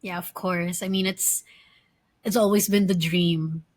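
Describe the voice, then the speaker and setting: A woman's voice: on the low side, fairly even intonation, a little slow. A woman in her early thirties from the Philippines, co-hosting a casual chat, giggling often and asking curious questions. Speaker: podcast co-host. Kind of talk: casual chat between friends.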